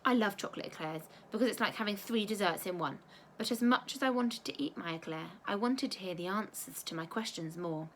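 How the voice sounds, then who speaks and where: A woman teacher reading a children's storybook aloud with expressive narration. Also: mid-range voice, a little fast, slight background noise.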